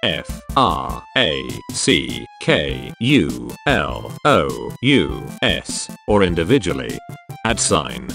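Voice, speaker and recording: A man's voice: extremely low pitch, gravelly, fairly bright tone, exaggerated voice. A man voicing a condescending non-human overlord, reading out scripted podcast credits over music. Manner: slow, spelling out words letter by letter. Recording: music behind the voice.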